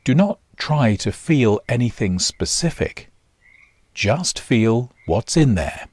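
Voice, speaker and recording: A man guiding a body scan meditation in short, measured instructions with long pauses. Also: mid-range voice, very animated, darkish tone, breathy edge, dry recording. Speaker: meditation guide. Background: nature sounds.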